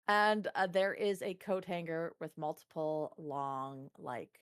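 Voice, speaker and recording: A woman playing a tabletop game, improvising scene descriptions in an informal, casual way peppered with "like". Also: lowish voice. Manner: frequent "like", informal.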